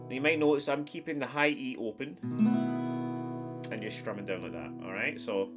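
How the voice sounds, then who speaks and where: A man's voice: medium pitch, normal speed. Stuffed-up man teaching guitar. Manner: friendly, explains step by step with counts. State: stuffed-up nose. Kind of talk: casual guitar lesson. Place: small wood-paneled room at home. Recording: acoustic guitar playing throughout.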